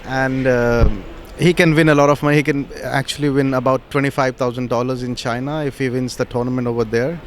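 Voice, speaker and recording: Indian-accented male organizer, courteous and halting, light venue noise. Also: medium pitch, steady tone. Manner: polite, hesitant, many uhs. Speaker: tournament organizer. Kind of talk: casual interview.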